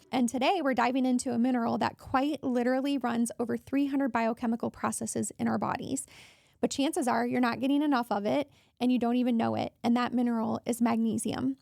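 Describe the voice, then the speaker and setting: Woman host, hoarse and raspy, higher pitch, gravelly fry, chatty wellness podcast talk. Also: darkish tone, no room sound, clean studio sound. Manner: chatty, wanders off on tangents. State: hoarse from allergies.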